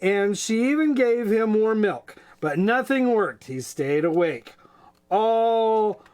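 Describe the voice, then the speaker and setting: A man reading children's stories aloud in a playful, folksy, expressive way, with casual asides. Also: high voice, slow. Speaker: storytime reader.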